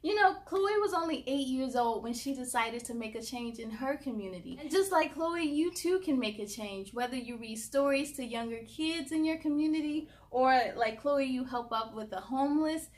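A young woman storyteller reading aloud for children in an expressive, encouraging way, addressing them directly. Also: high-pitched, moderate pace, few pauses. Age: young adult.

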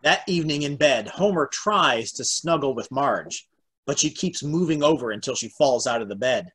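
A man with a husky voice reading stage directions as narrator of an amateur table read. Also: medium pitch, animated, normal speed, fairly bright tone. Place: home room, on a video call.

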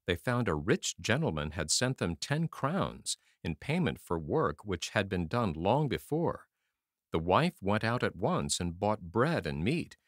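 A man narrating a fairy tale as an audiobook reader, in a measured, expressive storytelling manner. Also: on the low side, dry recording, studio quality.

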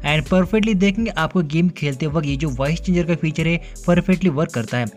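A man's voice: on the high side, a little fast, darkish tone, soft background music. A man narrating a hands-on phone feature walkthrough in an upbeat way, mixing English words into his Hindi. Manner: upbeat, repeats filler phrases, mixes English words into Hindi. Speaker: tech reviewer. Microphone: off-camera voice-over over hands-on phone footage.

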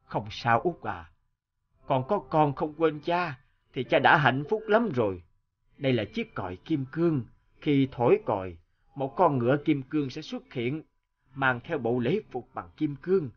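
Casting: aged ghostly father, cartoon folktale; tender, solemn, unhurried delivery. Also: mid-range voice, lively intonation, highs cut off. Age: older. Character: gentle dying old father ghost, blessing his youngest son. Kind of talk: cartoon fairy tale dubbing.

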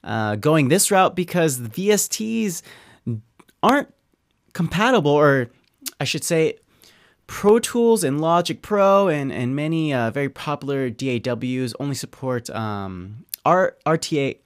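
A man talking through a software tutorial as an audio engineer, in an easygoing, conversational way with informal asides. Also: a little high, lively intonation, a little slow, no room sound, studio quality. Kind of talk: casual software tutorial talk.

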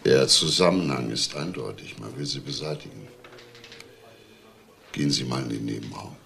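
Tiefer, knarziger Kriminalkommissar. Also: male voice, a bass voice, animated, moderate pace, vocal fry, a bit bright, a little hiss or hum, exaggerated voice. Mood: insistent. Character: probing, unhurried male police detective. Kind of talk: naturalistic crime drama dialogue.

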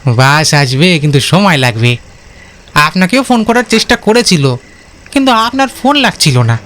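A man's voice: a little high, sing-song, moderate pace, fairly bright tone. A man voicing a helpful, nervous village van driver in a cartoon, deferential and hesitant, repeating his words. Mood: explaining kindly. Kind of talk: cartoon voice acting.

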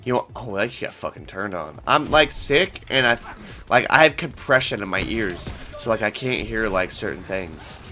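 A man's voice: lowish voice, expressive, moderate pace, bright, forceful tone, faint music behind. A young man in his twenties with a cold and a congested voice, rambling and swearing as he hosts casual gameplay commentary into a headset in a small room at home. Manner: rambling, swears often, frustrated outbursts. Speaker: gaming channel host.

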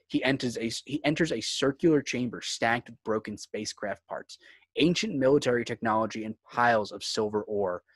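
A man's voice: mid-range voice, no room sound. A man reading scripted screenplay narration and stage directions for a table read.

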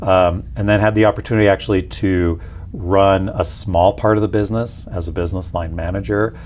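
A man's voice: deep voice, fairly even intonation, a little fast, darkish tone, a little hiss or hum. A man in his forties, a company executive explaining things conversationally in an interview. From Peru. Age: 40s. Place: small indoor office lounge. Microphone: lapel mic.

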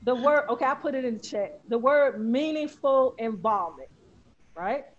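A panel moderator on a video call who briskly and playfully passes questions to the panelists. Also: medium pitch, lively intonation. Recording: dull lo-fi video call audio.

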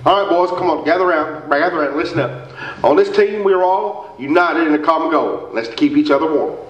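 Man playing blustering small-town coach, radio comedy, muddled pep talk, higher-pitched, distant hall recording. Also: fast talker, lightly acted. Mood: gruff, impatient. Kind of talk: staged old-time radio comedy acting. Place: indoor theater stage before an audience. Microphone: stand mic, heard through far camera. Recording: echoey stage hall, distant muffled camera audio.

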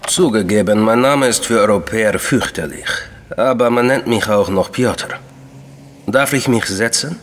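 An actor playing an enigmatic Russian official in a crime radio drama, courteous, deliberate and coolly menacing. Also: male voice, lowish voice, in a large hall, natural voice. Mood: courteous, guarded.

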